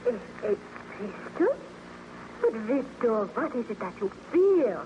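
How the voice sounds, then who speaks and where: An actress playing a devoted, anxious young sweetheart in an old-time radio drama, earnest and questioning in a melodramatic style. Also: female voice, a little high, sing-song, slow pace. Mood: worried, questioning. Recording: noisy, muffled old-time radio recording.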